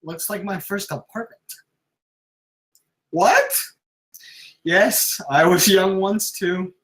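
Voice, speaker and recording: A man's voice: high voice, slow, a bit bright. A man at a screenplay table read playing a grown-up, military-minded mission commander. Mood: wry.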